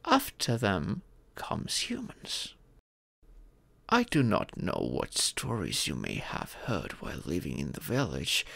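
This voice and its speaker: Slow, gravelly fatherly dragon played by a man. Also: medium pitch, a bit bright, no room sound, full character voice. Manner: measured, formal, storyteller-like lecturing. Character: wise fatherly male dragon, patient teacher to young dragon. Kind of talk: scripted roleplay audio, ASMR storytelling.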